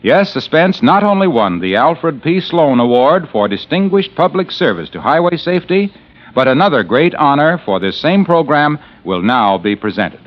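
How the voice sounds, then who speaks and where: A man's voice: a little high, very animated, normal speed. A man announcing for the sponsor in a hearty, emphatic salesman style. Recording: dull lo-fi old radio broadcast.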